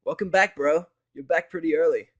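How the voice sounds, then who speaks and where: A man voicing a laid-back, caring young female pony with slangy, animated delivery in a fan fiction audio story. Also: fairly high pitch, brisk, dull audio, voice pushed up. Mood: friendly, laid-back.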